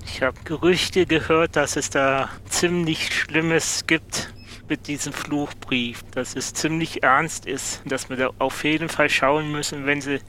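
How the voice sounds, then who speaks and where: Male player: bright, fairly high voice, improvised role-play, faint background noise. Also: fairly even intonation, unhurried. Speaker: listener playing in an improvised audio adventure.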